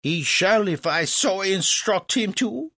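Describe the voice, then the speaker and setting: A man's voice: high-pitched, expressive, slow, pushed and bright, slightly rough, no room sound, clean studio sound, pitched above the performer's own voice, exaggerated voice. A man voicing a pompous, boastful French host in a storybook, with a grandiose, emphatic manner. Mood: commanding.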